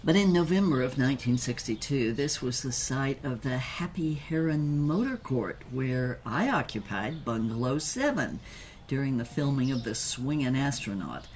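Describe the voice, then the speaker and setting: A woman's voice: very deep voice, animated, normal speed, darkish tone, a little hiss or hum. An actress playing a brassy, worldly old former film starlet, telling her story in a knowing, theatrical way in an audio drama. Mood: nostalgic, knowing.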